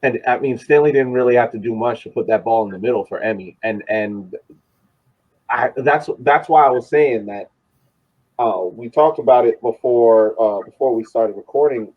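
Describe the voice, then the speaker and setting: A man co-hosting a sports podcast, giving casual soccer analysis in a halting way, repeating phrases for emphasis, on slightly dull audio. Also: mid-range voice, husky.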